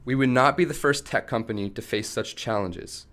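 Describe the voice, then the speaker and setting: A man's voice: mid-range voice, normal speed, a bit bright, natural voice. A man playing a principled, defiant tech company chief held captive in a radio play, arguing firmly and articulately. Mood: firm defiance. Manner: firm, argumentative, articulate.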